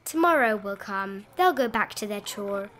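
A woman narrating a rhyming children's bedtime picture book in an expressive, sing-song read-aloud style with drawn-out emphasis. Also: medium pitch, a little hiss or hum. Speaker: storybook narrator.

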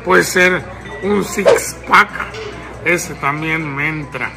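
A man's voice: on the high side, gravelly. A man putting on a boozy, courteous old-time male crooner, drawling politely and sometimes crooning, in a prank phone call. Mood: courteous, naive. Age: young adult. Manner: slow, drawling, polite, breaking into song. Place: large public lounge indoors. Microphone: camera at arm's length. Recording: music playing behind.

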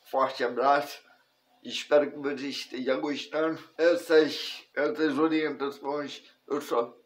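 A Brazilian man in his thirties teaching an online lesson to camera, speaking haltingly in short phrases. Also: a little high, steady tone. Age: 30s. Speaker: personal finance instructor. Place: indoors in a furnished room at home.

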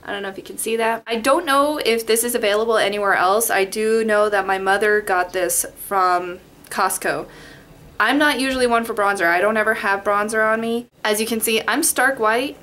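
A woman's voice: medium pitch, normal speed, fairly bright tone. A young woman from Las Vegas, chatty and rambling, talking through a makeup tutorial into a webcam in her bedroom. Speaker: beauty vlogger. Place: bedroom at home. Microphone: webcam in front.

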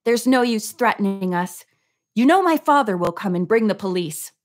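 A plucky young woman detective from a storybook, as a woman reader puts her on. She speaks with earnest, defiant spirit, and her voice is creaky at the edges. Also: mid-range voice, lively intonation, measured pace.

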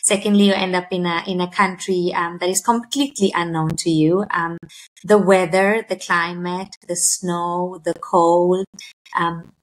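A woman's voice: fairly low pitch, level tone, measured pace, poor audio. A woman in her thirties from Sweden, a guest on a video call interview, speaking thoughtfully with many fillers. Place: indoor room by a window. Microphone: laptop or webcam mic on a video call.

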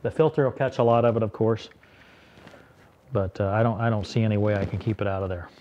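A man's voice: fairly low pitch, fairly even intonation, fast, highs cut off, a little hiss or hum. A middle-aged man hosting a tractor repair video, explaining a fix to the camera in a casual, thinking-aloud way with frequent restarts, recorded close up in a workshop. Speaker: tractor repair channel host. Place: workshop beside a tractor, indoors.

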